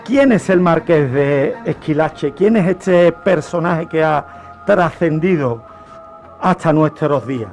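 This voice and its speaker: A man with a Spanish accent from Spain, telling history on the radio in an explanatory way with deliberate pauses. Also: on the high side, lively intonation, a little mellow, faint music behind. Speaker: radio show host. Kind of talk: history storytelling, semi-improvised radio talk.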